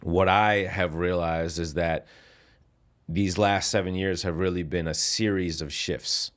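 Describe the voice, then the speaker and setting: A man's voice: sits low, fairly even intonation, unhurried. A man hosting a podcast interview, attentive and encouraging, with frequent short affirmations. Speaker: podcast host. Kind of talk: interview conversation.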